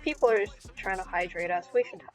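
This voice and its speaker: A woman's voice: mid-range voice, fairly quick. A woman hosting a podcast live stream over a call, rambling and giggly as she talks to her chat.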